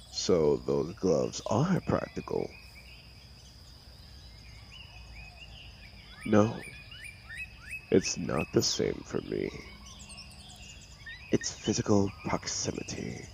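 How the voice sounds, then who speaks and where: A man playing a brooding telepathic villain with a gentle protective side, in an intimate audio drama, speaking theatrically in a gravelly, sometimes breathy and hushed voice. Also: rumbling low, huge pitch swings, slight background noise, heavily acted. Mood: intent, commanding. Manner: slow, theatrical, hushed, commanding yet soothing. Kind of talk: audio roleplay drama, intimate comforting monologue.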